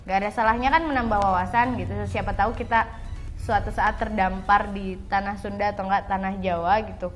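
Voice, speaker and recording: A woman's voice: mid-range voice, steady tone, fairly quick. A young woman from Java, co-hosting a casual, informal chat in which she explains words to viewers. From Java, Indonesia. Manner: informal, addresses viewers as friends. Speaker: co-host of a language vlog. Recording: background music.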